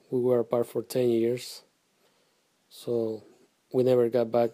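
A middle-aged man from Guatemala, answering questions in a casual family interview, halting and soft-spoken with pauses and restarts. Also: mid-range voice. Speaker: interviewee, father.

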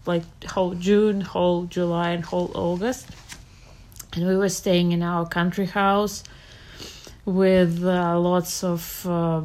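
A woman, answering interview questions about her childhood in an unhurried way, searching for her words. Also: on the low side, a little mellow. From Russia. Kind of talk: casual childhood memories.

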